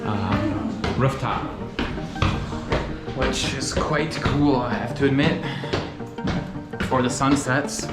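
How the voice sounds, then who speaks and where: Enthusiastic male travel vlogger with a mid-pitched voice, over background music. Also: slow, pauses often. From United States. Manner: enthusiastic, addresses viewers as "you guys". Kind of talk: travel vlog narration and chat. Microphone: handheld camera. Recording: music played under the voice.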